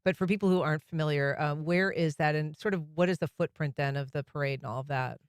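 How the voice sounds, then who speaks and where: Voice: medium pitch, quick pace, clear, resonant tone, no room sound, clean studio sound. A radio talk show host welcoming guests and asking friendly questions with casual fillers. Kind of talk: radio interview.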